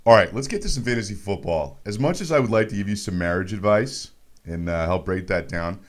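A man in his thirties with a lowish voice hosts a sports show. He banters casually and teases his co-host, speaking into a studio microphone. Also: animated, fairly quick. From Montreal, Canada. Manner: joking, teasing. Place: radio studio. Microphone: close studio mic on boom arm.

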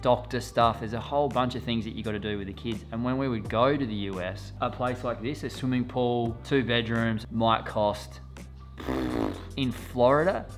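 A man's voice: medium pitch, a bit bright. A man, chatting animatedly to camera as a vlogger. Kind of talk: casual talk to camera. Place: poolside villa outdoors, then living room.

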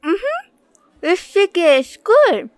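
A woman's voice: extremely high pitch, very animated, slow, dry recording, exaggerated voice. A woman voicing an innocent, eager little girl with a childlike, hesitant way of talking in a cartoon comic dub. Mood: cheerful.

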